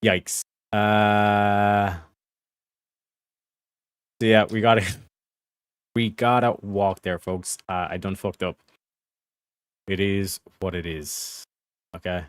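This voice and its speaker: A man chatting to his viewers as he plays an online game, crude and jokey, often breaking into bits of song. He talks slowly and evenly. The sound is dry and close, with no room in it. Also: fairly low pitch, little pitch movement, clean tone, no echo. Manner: profane, joking, talks to chat while playing. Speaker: streamer. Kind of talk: casual gaming livestream chat.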